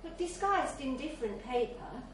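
A woman's voice: high voice, animated, moderate pace. A woman reading a literary short story aloud as scripted narration at a live event in a bar. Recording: very echoey, distant mic, faint noise.

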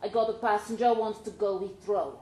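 A woman's voice: medium pitch, fairly even intonation, brisk, dark tone, lightly acted. A woman reader voicing a dodgy male minicab boss in a story, curt and offhand. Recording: roomy pub recording with faint noise.

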